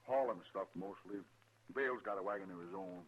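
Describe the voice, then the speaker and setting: An American actor playing a plain, folksy army storekeeper with a grievance in a 1950s radio western. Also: male voice, low-pitched, a little fast, husky. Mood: resentful, confiding. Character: folksy, aggrieved army storekeeper, married working man. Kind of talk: 1950s radio drama acting. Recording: tinny vintage 1950s radio recording.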